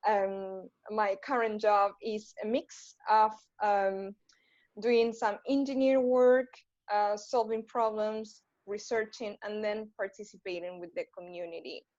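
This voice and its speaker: A woman's voice: a little high, steady tone, measured pace, a little mellow, no echo, highs cut off. Argentine woman chatting on a call. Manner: chatty, friendly, fillers and light laughs. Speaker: panel guest. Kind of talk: casual panel talk.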